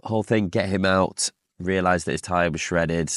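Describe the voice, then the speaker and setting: Deep-voiced man telling a story casually. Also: fairly even intonation, normal speed, a bit bright, no echo. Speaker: podcast guest, off-road driver. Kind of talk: casual anecdotal chat.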